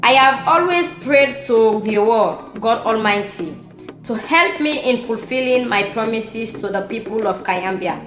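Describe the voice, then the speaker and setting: A woman's voice: a little high, expressive, a little slow, a bit bright. A woman with a Sierra Leonean accent playing a proud, determined chieftaincy candidate, giving an emphatic speech in an amateur audio drama. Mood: resolute, proud. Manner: declamatory, emphatic oratory. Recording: roomy echo with music underneath.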